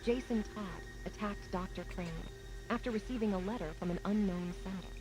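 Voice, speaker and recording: A woman's voice: medium pitch, a little fast, natural voice, rough recording. A woman playing a newspaper reporter, reading a grim horror report in a formal, matter-of-fact way. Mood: grave, factual. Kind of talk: scripted news narration. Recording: music playing under the voice.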